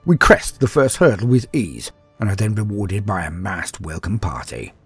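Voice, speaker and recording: A man playing a husky, haughty space marine. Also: lowish voice, animated, moderate pace, dry recording, faint music behind. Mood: grim, intense. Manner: haughty, scornful, grandiose, dramatic. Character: proud, battle-hungry armored space marine, superhuman male soldier. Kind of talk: first-person dramatic sci-fi war storytelling.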